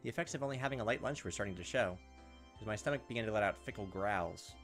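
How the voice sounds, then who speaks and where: Male gaming host, brisk delivery, reads game text with wry casual asides, music underneath. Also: on the low side, fairly bright tone. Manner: jokey asides and reactions. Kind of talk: casual let's play, reading visual novel text aloud with offhand commentary. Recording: music behind the voice. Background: visual novel game music.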